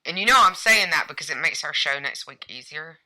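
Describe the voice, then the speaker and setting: A woman with a strikingly low voice that still rings bright and sharp. She chats casually as a podcast co-host, musing aloud and tossing in dry jokes. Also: animated, brisk, poor audio. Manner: conversational, hedging with fillers, wry asides. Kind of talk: casual chat about cybersecurity.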